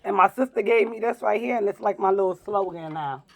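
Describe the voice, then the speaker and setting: A playful vlogger from New York with a gravelly edge to the voice talks casually through a meal. The pitch sits in the middle, and the delivery is loose and repetitive. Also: a little fast. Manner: playful, repeats words, talks while eating. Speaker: vlog co-host. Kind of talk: casual mukbang chat.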